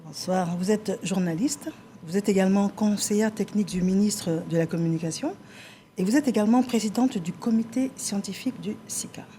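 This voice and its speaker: A woman hosting a TV talk show, introducing guests and prompting them briskly with short acknowledgments. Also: mid-range voice, mellow, slight background noise.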